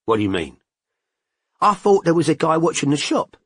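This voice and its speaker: A man voicing a cocky, smug man with a husky voice, in an audiobook, blunt and slangy. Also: fairly high pitch, sing-song, moderate pace, voice pushed up. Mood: gossipy. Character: cocky working-class English man, chatty, smug. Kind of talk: audiobook character voice.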